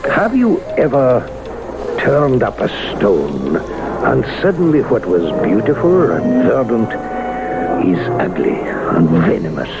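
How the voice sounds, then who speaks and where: Grave courteous official, ominous and formal, slow delivery, sweeping intonation, old radio play, slight hiss. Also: male voice, medium pitch, huge pitch swings, exaggerated voice, rough recording. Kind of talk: 1970s radio drama acting. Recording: vintage radio recording, faint noise.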